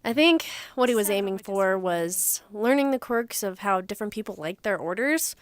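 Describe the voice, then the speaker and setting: A woman playing a brisk, sardonic police detective with a curt manner in an audio drama. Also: medium pitch, expressive, dry recording, close to an everyday voice. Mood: informative.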